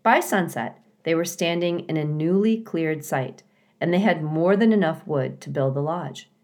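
A woman narrating a children's novel aloud as an expressive storybook reader. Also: deep voice, moderate pace.

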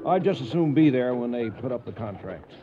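An actor playing a stubborn, devout veteran cattle drover in a western radio drama. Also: male voice, medium pitch, lively intonation, brisk, close to an everyday voice. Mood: stubborn, confident. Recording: noisy, dull old radio drama recording.

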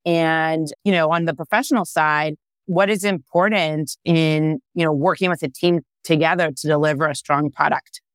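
A woman's voice: sits low, steady tone, moderate pace, dry recording, clean studio sound. A woman in her thirties, born and raised in Israel, answering interview questions as a startup founder, thoughtful and full of "you know" fillers. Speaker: startup founder and CEO, guest.

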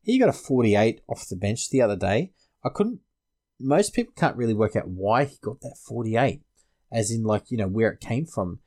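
A man's voice: on the low side, very animated, normal speed, darkish tone. An Australian man hosting a sports podcast, casually discussing fantasy rugby league.

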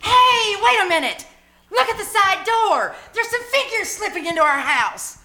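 Lady acting alarmed housewife, very high bright voice, bit of noise, live radio comedy. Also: animated, moderate pace, heavily acted. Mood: startled. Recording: faintly noisy live stage recording.

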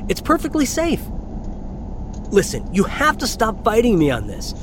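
An actor playing a young delivery driver, a nervous, halting, chatty man on a phone call in an audio drama. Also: high voice, huge pitch swings. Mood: exasperated. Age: young adult. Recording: clear vehicle and outdoor ambience noise.

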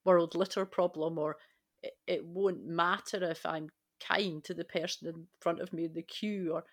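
A woman's voice: lowish voice, steady tone, moderate pace. A woman speaking as a panellist in a conversational, reflective podcast discussion.

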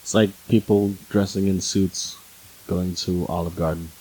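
A man's voice: low voice, level tone, unhurried, dark tone, husky, no room sound, low-quality recording. A man in his forties chatting casually as a podcast co-host. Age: 40s. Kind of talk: casual chat.

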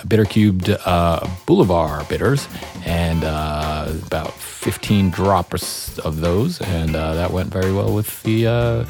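A man hosting a cocktail podcast from his home studio, relaxed and full of "uh" fillers. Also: low voice. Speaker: podcast host.

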